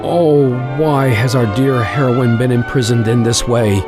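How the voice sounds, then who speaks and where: A man's voice: mid-range voice, fairly even intonation, measured pace, music in the background, natural voice. A man playing a theatrical, florid storyteller narrating an old-style radio melodrama. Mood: dramatic. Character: grandiose melodrama storyteller, sympathetic male announcer.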